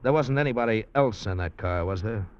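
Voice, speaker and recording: A man's voice: lowish voice, animated, fairly quick, clean tone, close to an everyday voice. A man playing a slangy, cynical drifter who narrates his own story in 1940s radio noir style. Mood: concerned, probing. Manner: hard-boiled, slangy, casual. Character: handsome drifter, failed movie actor, wary cynical noir narrator. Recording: muffled vintage radio recording, loud music.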